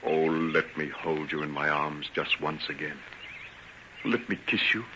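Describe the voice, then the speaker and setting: An actor playing an ardent, tormented, wryly teasing doctor in an old-time radio drama, with theatrical, genteel diction. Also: male voice, rumbling low, measured pace, bright, forceful tone, exaggerated voice. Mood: anguished, tender. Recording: dull, faintly noisy vintage radio recording.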